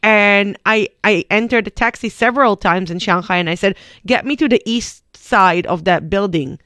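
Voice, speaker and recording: A woman hosting a radio show, telling stories in a chatty, animated way. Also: medium pitch, normal speed, no room sound, clean studio sound. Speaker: radio host. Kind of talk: casual radio chat. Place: radio studio.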